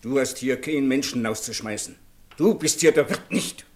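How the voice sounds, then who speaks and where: An actor playing an outspoken, stubborn brother-in-law, talking colloquially and reproachfully in a German radio drama. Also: male voice, medium pitch, moderate pace, close to an everyday voice.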